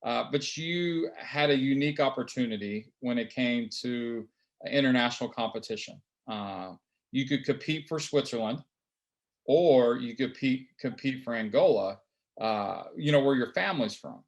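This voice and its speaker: A man who scouts basketball players, hosting an interview in a halting way with many fillers and repeated words. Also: mid-range voice, muffled recording. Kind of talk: interview host.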